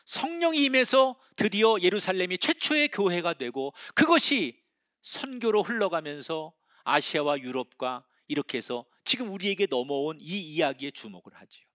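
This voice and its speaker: A middle-aged man preaches in a high voice with a very lively, sweeping intonation. He tells the story dramatically, dropping to hushed whispers and then rising to loud exclamations. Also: moderate pace. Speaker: pastor. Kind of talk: sermon.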